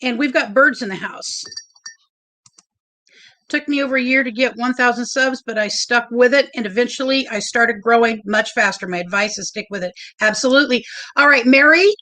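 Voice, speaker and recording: A woman hosting a casual livestream, chatty and a little nervous, with a loud, big voice. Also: fairly high pitch, moderate pace, pauses often. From Oregon. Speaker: livestream host.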